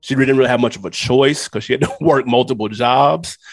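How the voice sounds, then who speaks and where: A man's voice: medium pitch, brisk. A middle-aged American man from Nashville, a podcast guest telling stories in a candid, slangy, casual way. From Nashville, USA. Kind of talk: casual storytelling chat. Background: music.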